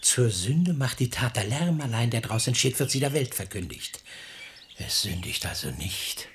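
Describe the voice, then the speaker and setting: An actor playing a sly, pious hypocrite and seducer in a German radio play, speaking rhymed verse in an unctuous, insistent, theatrical way. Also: male voice, medium pitch, very animated, moderate pace, a little hiss or hum. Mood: sly, seductive.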